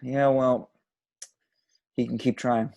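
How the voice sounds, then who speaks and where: A man plays a young husband in his late twenties, boyish and sarcastic. The voice has a lot of fry and a casual delivery, as in a film drama read at home. It is recorded close and dry, with no room sound. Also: medium pitch, little pitch movement, takes it slowly, darkish tone, no echo. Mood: wry, sarcastic.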